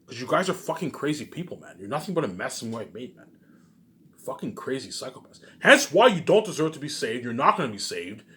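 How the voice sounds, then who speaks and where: Male vlogger, medium pitch with big swings; rambling, accusatory rant, repetitive and profane. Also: very animated, fairly quick, bright, forceful tone. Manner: emphatic and repetitive, punctuated with muttered tag words. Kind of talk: rambling, ranting monologue addressed to viewers.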